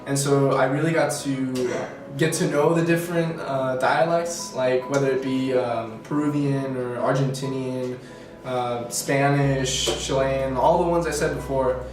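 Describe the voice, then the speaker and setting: A man's voice: mid-range voice, fairly even intonation, unhurried. Young man talking in an echoey room. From Colombia. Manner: rambling, self-correcting, many fillers. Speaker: student interviewee. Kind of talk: casual interview answers. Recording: echoey room from far off, music behind.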